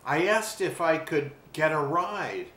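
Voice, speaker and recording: Slow-reading older man, roomy home recording. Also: on the high side, animated, bright, forceful tone. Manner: deliberate, with pauses to describe the pictures. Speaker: children's book reader. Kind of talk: expressive storybook read-aloud. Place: small furnished room at home. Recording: roomy webcam sound with faint hiss.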